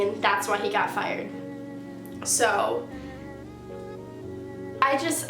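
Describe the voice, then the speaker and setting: A late-teen girl with a slightly high voice tells a casual storytime to her viewers, sounding stuffed up from a sinus cold. She chats loosely and fills her sentences with "like." The room around her adds a noticeable echo. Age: late teens. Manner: chatty, heavy use of "like". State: stuffy nose from a sinus infection. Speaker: YouTuber. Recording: roomy echo.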